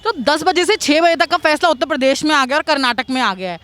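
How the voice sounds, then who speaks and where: A woman's voice: high voice, fast, a bit bright. A woman working as a street reporter, putting questions to passers-by outdoors. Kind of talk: vox pop interviewing with leading questions.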